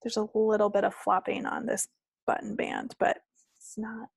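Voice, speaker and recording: A woman's voice: mid-range voice, level tone, normal speed, vocal fry, husky, no echo. A woman co-hosting a knitting podcast, chatting in a casual, rambling, unscripted way. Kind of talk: casual, unscripted craft chat.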